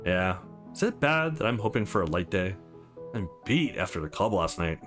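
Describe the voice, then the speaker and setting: A man reads as a sarcastic young guy from a visual novel. He is wry and easygoing, with very animated pitch and soft music underneath. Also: normal speed.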